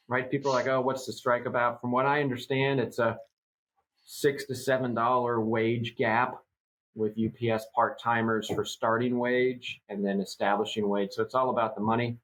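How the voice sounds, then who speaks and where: A middle-aged man in his fifties talks through industry news on a podcast. He rambles, trails off into fillers, and keeps a relaxed, conversational flow at a middle pitch. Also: normal speed, low-quality recording. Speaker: co-host. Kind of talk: casual podcast chat.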